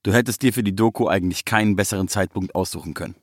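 Man playing a self-important rapper, emphatic, boastful, lower register, storybook quote. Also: very fast, no echo, studio quality, natural voice.

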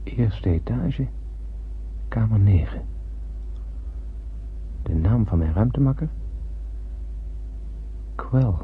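A man's voice: fairly low pitch, lively intonation, extremely slow, long pauses. An actor playing a wondering, nervous spaceman who narrates a radio drama in a musing, theatrical way. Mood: musing, wry. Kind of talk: dramatic first-person radio play narration. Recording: narrow lo-fi old radio recording, faint noise.

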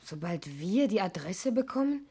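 An actress in a 1960s German radio play, playing a suspicious, insistent niece with a sceptical, pointed way of questioning. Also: female voice, medium pitch, big pitch swings, normal speed, dark tone, slight background noise, lightly acted. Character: suspicious, insistent adopted niece of a missing aunt.

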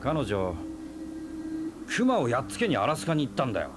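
A man's voice: mid-range voice, wildly swooping pitch, brisk, background noise. A man playing a talkative, teasing family acquaintance in a Japanese radio drama, speaking in a casual, knowing and provocative way. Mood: knowing, revealing. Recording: 1990s FM radio drama on cassette, music behind.

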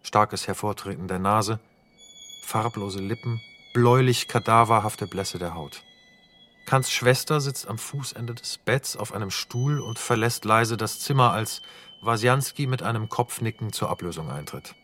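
A man narrating the scene directions of a radio drama in a measured, factual, neutral manner. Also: fairly low pitch, a bit bright, slight background noise. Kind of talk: scripted narration of stage directions.

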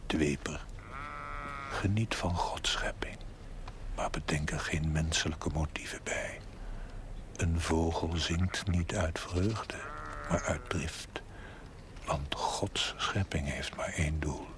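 Deep, slow, stern pious mentor. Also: male voice, fairly even intonation, whispered. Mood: stern, lecturing. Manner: measured, didactic, formal. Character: stern, philosophising male mentor, gravely pious. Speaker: actor in a television drama series. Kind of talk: 1970s Dutch television drama acting. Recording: 1970s TV soundtrack with loud noise.

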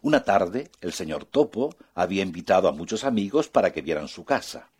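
A man narrating a children's fairy tale in an expressive, soft-spoken way. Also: sits low, fairly quick, a little hiss or hum. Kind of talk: children's storytelling.

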